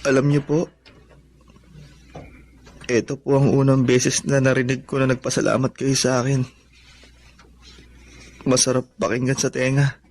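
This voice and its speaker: A man's voice: mid-range voice, little pitch movement, measured pace, pauses often, darkish tone, natural voice. Radio drama role: humble, earnest young man voiced by an actor, courteous and hopeful, faintly noisy recording. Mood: tender. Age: young adult. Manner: polite, sincere.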